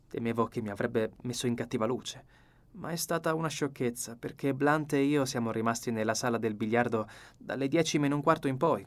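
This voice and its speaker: A man's voice: mid-range voice, close to an everyday voice. Man playing a breezy, frank young secretary. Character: cheerful, frank, likeable young male secretary. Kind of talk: acted audiobook dialogue.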